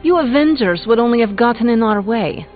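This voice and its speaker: An actress playing a blunt, distrustful young sorceress in a video game, speaking with curt scorn. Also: female voice, on the high side, expressive, fairly quick, phone-quality sound, close to an everyday voice. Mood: scornful, defiant. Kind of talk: video game character dialogue. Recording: thin band-limited game audio with music behind.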